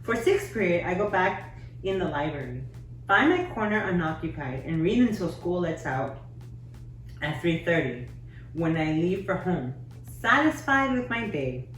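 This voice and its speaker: Teacher read-aloud, woman, lowish voice, steady and measured; echoey room, distant mic. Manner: measured, steady, with occasional slips. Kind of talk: reading a children's novel aloud.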